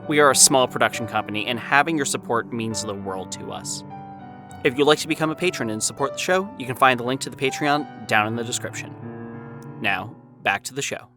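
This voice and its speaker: A man's voice: medium pitch, lively intonation, a little fast, music in the background. A man hosting a podcast, reading a scripted announcement to listeners. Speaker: podcast producer and host.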